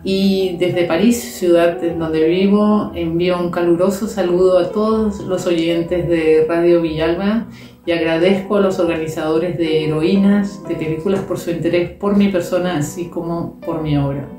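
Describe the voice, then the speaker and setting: A woman with a slight Peruvian accent speaks in a measured, formal way. Her voice sits low and mellow. She is recorded from a distance in a roomy space, with faint music behind her. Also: steady tone. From Peru. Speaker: composer. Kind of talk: recorded greeting. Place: indoor room with piano. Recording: roomy sound, distant from mic.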